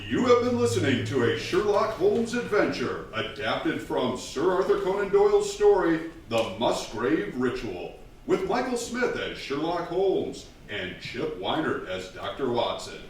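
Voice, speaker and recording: Man playing an echoey, animated old-time radio announcer. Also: fairly high pitch, unhurried. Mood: formal, proclaiming. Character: formal, eager old-time radio announcer hosting a mystery program. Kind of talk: 1940s radio announcing, stage performance. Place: theatre stage, indoors. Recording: very echoey hall from far off, faint noise.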